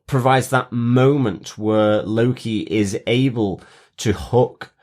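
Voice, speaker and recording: A man co-hosting a podcast, talking casually through a TV episode in halting phrases that often break off and resume. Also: mid-range voice, steady tone, a little mellow. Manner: halting, hesitant phrasing with broken-off sentences. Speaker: podcast host. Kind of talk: casual TV recap discussion.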